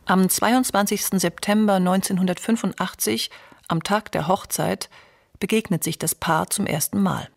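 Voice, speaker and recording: A woman narrating a radio documentary in a calm, measured, scripted way. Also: mid-range voice, gravelly, dry recording, studio quality.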